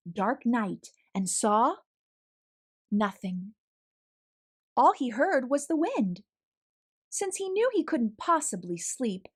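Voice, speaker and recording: A woman reading a children's story aloud expressively, with deliberate dramatic pauses. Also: mid-range voice. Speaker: storybook read-aloud host.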